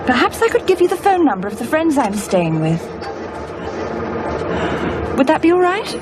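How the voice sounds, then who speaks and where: An actress playing a poised, scheming woman, politely measured and coolly ironic, in a radio horror drama. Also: very animated, music in the background. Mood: polite, demure. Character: poised, scheming woman with a secret revenge plan. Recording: noisy rumbling background.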